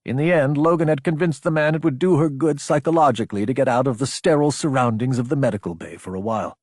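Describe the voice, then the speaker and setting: A man's voice: medium pitch, fast talker, darkish tone, no room sound, studio quality. A man reading scripted fiction as an audiobook narrator, in a dramatic storytelling style.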